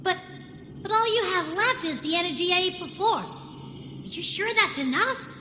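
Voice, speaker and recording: A woman's voice: very high-pitched, lively intonation, normal speed, bright, forceful tone, heavily acted. A woman voicing a small, childlike, eager companion creature in a video game, chirpy and casual. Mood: worried. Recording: echoey reverb with clear background noise.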